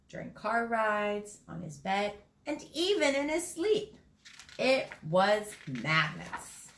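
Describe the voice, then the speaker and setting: Expressive woman reading a picture book. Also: medium pitch. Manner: lively and expressive. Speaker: school story reader. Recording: echoey room.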